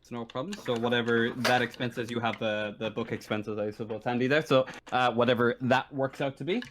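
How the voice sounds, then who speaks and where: A man's voice: mid-range voice, steady tone, a little fast. A man running a tabletop game as game master, talking casually in an easygoing, accommodating way. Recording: noisy line with clicks.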